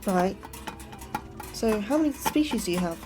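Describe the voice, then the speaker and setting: A woman's voice: medium pitch, animated, moderate pace, music in the background, lightly acted. Anxious, inquisitive woman scientist played by an actress. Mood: anxious, curious. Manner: questioning, nervous, apologetic. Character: anxious, inquisitive, apologetic female astrobiologist. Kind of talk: audio drama acting.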